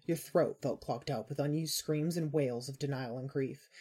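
Female fiction narrator, mellow and husky, scripted storytelling read. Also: very deep voice, moderate pace.

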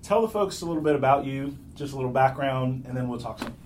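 A man's voice: mid-range voice, quick pace, poor audio. A man hosting a podcast with casual, conversational interviewing. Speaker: podcast host. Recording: faint background noise.

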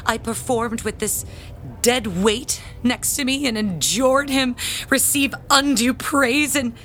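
A woman playing a proud, jealous parishioner and bell ringer in an audio drama, speaking in a pompous, theatrical, halting way. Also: on the high side, a bit bright, slight background noise. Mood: indignant, wounded pride.

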